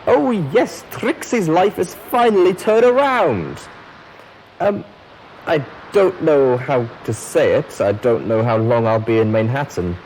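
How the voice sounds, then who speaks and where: A man voicing an excitable, boastful female pony leaving a recorded message, with gushing, theatrical emphasis. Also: medium pitch, measured pace, a little hiss or hum, pitched above the performer's own voice, poor audio. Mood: excited, boastful. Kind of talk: recorded message in a fan fiction reading.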